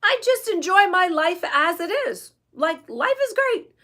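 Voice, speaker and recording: Brief: a woman sending up a carefree young childfree woman, high, singsong, smug. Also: big pitch swings, measured pace, sharp tone, full character voice. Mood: mocking breezy. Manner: sing-song, breezy, mocking.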